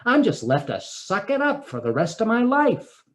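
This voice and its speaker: A male actor reads a first-person personal essay aloud in an expressive, theatrical manner, with deliberate pauses and emotional swells. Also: sits high.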